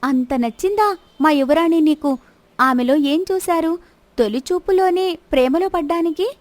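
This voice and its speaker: Bold young storybook princess, played by a female narrator: high voice, probing and teasing, dry sound. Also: a little slow, a little mellow, no echo. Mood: teasing, testing. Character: bold young princess disguised as a palace servant.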